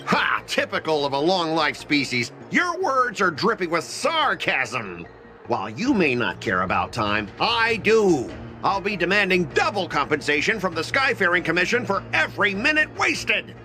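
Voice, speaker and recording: Give me a pompous game businessman with a wildly swinging, bright voice. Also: high voice, wildly swooping pitch, moderate pace, faint music behind, full character voice. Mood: indignant. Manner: pompous, blustering, sarcastic. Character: pompous young male corporate manager. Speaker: company manager. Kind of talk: video game voice acting.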